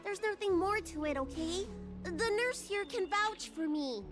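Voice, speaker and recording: A very high, lively child's voice for an anime game. She is a stubborn, excitable dragon girl, indignant and emphatic. Game music plays clearly behind her. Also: a little slow. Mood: defensive.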